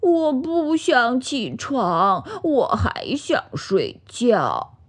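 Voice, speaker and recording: A woman's voice: high voice, very animated, extremely slow, breathy edge, voice pushed up, exaggerated voice. A woman voicing a sleepy, stubborn young bear cub in a children's storybook, mumbling and sulky.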